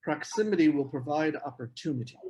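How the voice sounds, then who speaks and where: A man's voice: on the high side, lively intonation, a little fast, mellow, slightly rough. A man at a screenplay table read playing a scheming, charming literary man with formal, earnest phrasing. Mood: earnest, persuasive.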